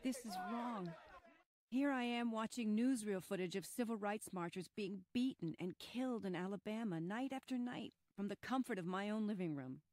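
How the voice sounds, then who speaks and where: A woman's voice: medium pitch, normal speed, darkish tone, no room sound, clean studio sound, close to an everyday voice. A woman playing a resolute, compassionate 1960s housewife and activist in an old-time radio style drama, speaking earnestly and emphatically. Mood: distressed.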